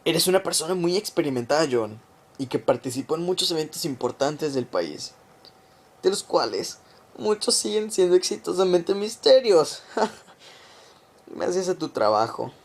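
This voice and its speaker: A man's voice: fairly high pitch, very animated, heavily acted. Man acting as a menacing, gloating government conspirator. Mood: amused, gloating. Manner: menacing, deliberate, taunting a victim. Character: cold gloating male government conspirator confessing his plot. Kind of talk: amateur radio drama monologue.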